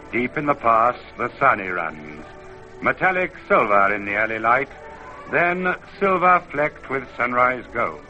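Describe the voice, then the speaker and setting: An actor playing the ancient spirit of a mountain in an old-time radio drama, grand and poetic, with solemn theatrical declamation. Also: male voice, medium pitch, bright, forceful tone, full character voice. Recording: dull vintage radio recording, faint music.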